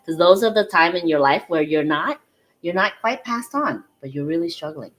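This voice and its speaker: Low-voiced woman explaining things conversationally. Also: expressive, normal speed. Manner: explanatory, often checks in with "right?". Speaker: insurance and financial consultant, guest.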